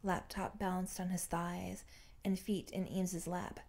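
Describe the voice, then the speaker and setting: A woman's voice: lowish voice, flat delivery, unhurried, gravelly, a little mellow. A woman reading fan fiction aloud as scripted audiobook-style narration.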